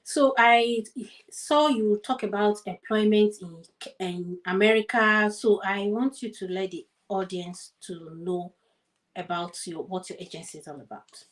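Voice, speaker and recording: A woman's voice: medium pitch, unhurried, rough recording. Woman host, friendly and upbeat, asking her guest questions. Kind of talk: interview, casual chat.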